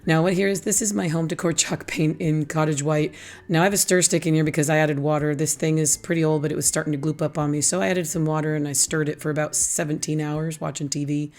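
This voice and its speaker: A hoarse, raspy-voiced middle-aged woman hosting a casual craft tutorial, chatty and teasing herself as she explains each step. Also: low voice, flat delivery, fast talker, a little mellow. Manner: chatty, self-teasing, laughs at her own jokes. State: hoarse, losing her voice. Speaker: craft channel host.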